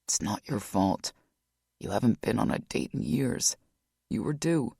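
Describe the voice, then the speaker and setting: A woman voicing a worried, gentle adult brother who speaks low and softly to comfort his sister. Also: animated, unhurried, gravelly, breathy edge, no room sound, studio quality, heavily acted. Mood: soothing. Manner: low, soft and soothing.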